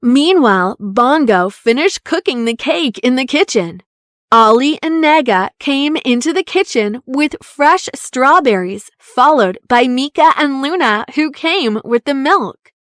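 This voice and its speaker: A woman reads a children's story with expressive, deliberate delivery. Her voice is high and very animated, and she takes her time. The recording is close and dry. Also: no echo. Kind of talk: children's story read-aloud narration.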